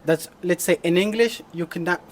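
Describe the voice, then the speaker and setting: A man interviewing a guest, asking questions in an upbeat, quick manner. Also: high voice, slight background noise. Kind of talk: casual interview.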